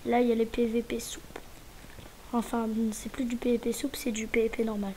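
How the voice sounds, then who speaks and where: A fairly high-pitched woman gives a casual walk-through of a game server, sounding a little stuffy from a cold. She talks hesitantly, restarts her sentences and keeps dropping into whispered asides. A faint hiss sits under her voice. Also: level tone, brisk, warm tone. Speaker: gaming channel host. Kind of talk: casual gameplay tour.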